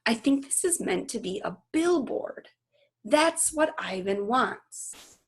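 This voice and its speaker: A woman's voice: fairly high pitch, lively intonation, takes it slowly, slightly rough, voice pushed up, heavily acted. A woman reading a children's novel aloud as an earnest, eager young girl with big ideas. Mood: excited.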